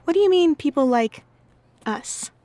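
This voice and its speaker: High-voiced, wry teenage girl. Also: animated, unhurried, mellow. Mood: uneasy, curious. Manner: casual, wry, questioning. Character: Kitty, teenage girl mutant, chatty, uneasy newcomer. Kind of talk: audio drama acting.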